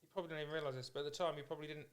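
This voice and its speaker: A man with a fairly high voice hosts a casual chat. He speaks informally, in an encouraging and matey manner, as an interviewer among friends would. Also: steady tone, rapid-fire. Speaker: podcast host.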